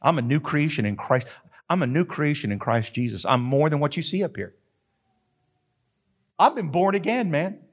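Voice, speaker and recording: A pastor in his fifties preaching with a lively, swooping voice that rides high for a man. He delivers his sermon from a church platform in an animated way, now and then breaking into a shout. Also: normal speed, pauses often. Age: 50s.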